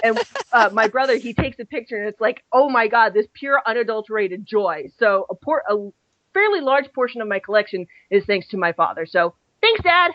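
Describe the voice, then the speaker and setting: A woman's voice: on the high side, expressive, brisk. A young woman co-hosting a fan podcast, chatting in a rambling, self-deprecating way with many restarts. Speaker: podcast co-host. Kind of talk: casual chat. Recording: dull lo-fi dry audio.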